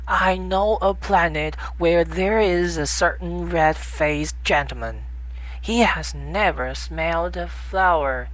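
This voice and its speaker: A reader acts out a little boy prince from a storybook, pitched high and speaking slowly. He is earnest and indignant, pressing each point with insistent reproach. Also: a bit bright, rough recording. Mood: scornful. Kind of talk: storybook audiobook character voice.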